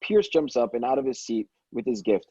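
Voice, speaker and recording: A man's voice: medium pitch, animated, a little fast, dark tone, husky, no echo. A man reading stage directions as narrator in an amateur table read, stumbling now and then and correcting himself. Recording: dull, dry lo-fi microphone.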